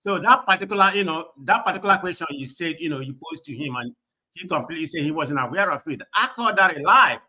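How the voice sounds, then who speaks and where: A man's voice: fairly high pitch, lively intonation, quick pace, pushed and bright. A man on a call-in broadcast who talks bluntly and rambles, filling his speech with "you know". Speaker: caller on a live broadcast. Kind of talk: heated call-in debate.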